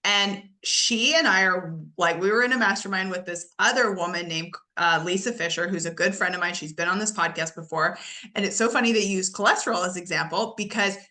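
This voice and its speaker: A woman's voice: lowish voice, pushed and bright. A woman hosting a podcast who chats casually and fires off follow-up questions to her guest. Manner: chatty, quick follow-up questions. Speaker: podcast host. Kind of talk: casual interview chat.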